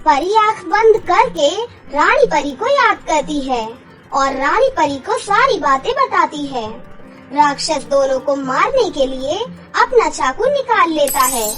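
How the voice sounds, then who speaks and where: Female narrator, children's story, scripted, very high pitch, slow pace. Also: big pitch swings, a bit bright, soft background music, low-quality recording.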